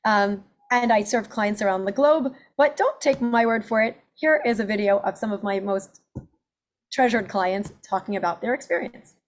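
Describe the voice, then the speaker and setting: A woman in her forties, an accent and presentation coach, giving an upbeat webinar pitch of her services. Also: moderate pace. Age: 40s. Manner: upbeat, self-promoting, listing services.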